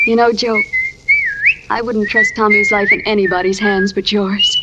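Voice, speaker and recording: A woman's voice: medium pitch, fairly even intonation, normal speed, close to an everyday voice. An actress playing an affectionate, fussing young woman, coaxing and bossy by turns, in 1940s radio drama style. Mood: coaxing, fond. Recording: muffled, faintly noisy old radio recording.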